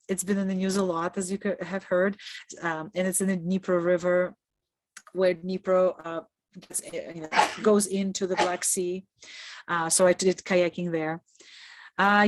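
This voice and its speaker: A woman's voice: lowish voice, no room sound. A woman from Kazakhstan, a native Russian speaker, giving an upbeat, conversational information session as a returned volunteer over a video call. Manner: conversational, upbeat, laughs often. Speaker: Peace Corps presenter and returned volunteer. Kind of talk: informational presentation.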